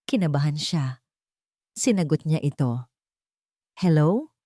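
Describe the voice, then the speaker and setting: A woman's voice: sits low, animated, moderate pace, darkish tone, no room sound, studio quality. A woman narrating a scripted romance story in a dramatic storytelling style.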